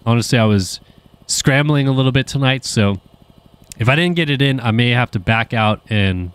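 A man's voice: medium pitch, lively intonation, normal speed, clear, resonant tone. A man giving casual live commentary on a simulated motocross race, slightly nasal from a cold, with plenty of ums and uhs. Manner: casual, talkative, frequent fillers. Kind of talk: live sim racing commentary.

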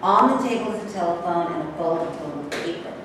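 A woman's voice: on the low side, lively intonation, a little fast. A woman reading stage directions as scripted narration. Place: bare rehearsal room, camera at a distance. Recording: echoey hall from far off, clear noise.

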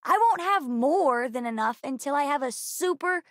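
High, bright-eyed bookish young girl. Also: normal speed, no echo, clean studio sound. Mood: playful, bookish. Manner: earnest, precocious, expressive. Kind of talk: video game character voice lines.

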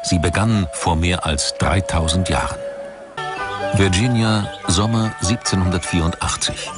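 A man reading scripted documentary narration in a measured, dramatic storytelling manner. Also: deep voice. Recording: music under the voice. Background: background music.

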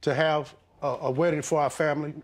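A middle-aged man from the American South testifying in a TV courtroom, speaking haltingly with many pauses and fillers, respectful toward the judge. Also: on the high side, fairly even intonation. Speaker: litigant. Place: TV courtroom set.

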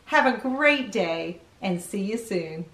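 A middle-aged woman hosting a children's read-aloud, chatting in an animated, sing-song way to young viewers. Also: mid-range voice, slow, roomy sound. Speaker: children's storytime host, grandmother. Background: music.

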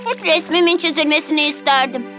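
Grabby little cartoon kid, really high voice, childlike, music playing. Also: female voice, fast talker, sharp tone. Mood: wanting, insistent. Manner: childlike, exclaiming. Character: small eager, covetous cartoon toddler. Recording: music behind the voice.

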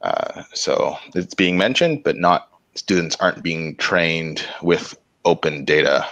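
A bearded man in his forties on a video call from a small room, explaining things in a measured way and thinking aloud with pauses. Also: sits low, fairly bright tone, no echo, rough recording. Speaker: community organizer. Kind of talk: casual video call discussion. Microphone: video call, laptop mic.

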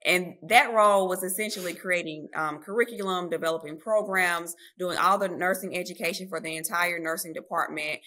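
Woman, thirties, low and bright tone, friendly talkative guest on a video call. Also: brisk, few pauses. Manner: friendly, talkative, addresses listeners as y'all. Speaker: guest nurse writer. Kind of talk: casual interview storytelling. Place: home room, video call. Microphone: webcam or laptop mic on video call.

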